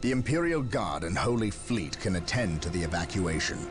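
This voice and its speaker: An actor playing a stern, brooding male space marine captain who speaks in a grave, measured, commanding way. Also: low voice, very animated, loud music behind the voice. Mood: resolute. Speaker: soldier. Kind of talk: video game cutscene acting.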